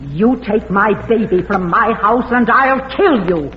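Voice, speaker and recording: A woman's voice: medium pitch, lively intonation, a little slow, close to an everyday voice. An actress playing a fiercely protective, vehement mother in a 1940s radio drama. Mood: bitter, threatening. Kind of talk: 1940s radio melodrama acting. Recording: tinny, faintly hissy old radio recording.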